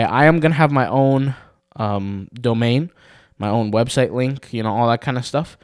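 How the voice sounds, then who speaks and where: Slightly raspy man, rambling tutorial. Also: mid-range voice, no echo, studio quality. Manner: rambling, lots of fillers and restarts. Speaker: blogging tutor. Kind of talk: casual screen-recorded tutorial.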